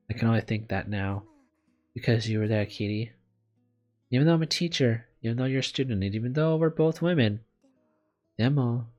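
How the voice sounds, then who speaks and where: A video game streamer talks through a visual novel in a medium-low, androgynous voice. The delivery moves between reading aloud and casual, candid commentary, self-deprecating and unafraid to swear. Gentle guitar music plays behind the voice.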